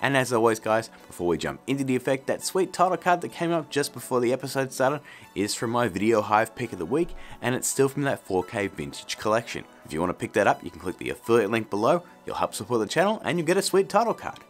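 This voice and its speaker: Lively, chatty male tutorial host. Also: medium pitch, fast, soft background music. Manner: chatty, jokey, informal. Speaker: host of an effects tutorial channel. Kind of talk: casual tutorial presenting.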